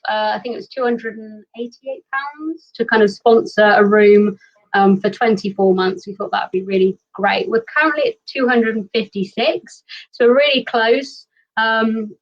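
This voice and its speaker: A British woman hosting a casual online livestream chat over a video call. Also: medium pitch. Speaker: host of an online fan convention. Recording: dull, lo-fi online call audio.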